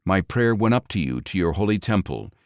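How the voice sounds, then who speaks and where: A man's voice: low-pitched, sing-song, a little fast, a little mellow, clear, resonant tone, no echo, clean studio sound. A man voicing a grave, resigned, devout prophet, solemn and earnest, in a scripture audiobook. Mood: solemn, prayerful. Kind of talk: dramatic audiobook scripture reading.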